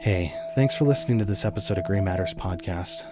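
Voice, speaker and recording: A man's voice: sits low, brisk, music in the background. A man speaking as podcast host, writer and producer, delivering a scripted outro.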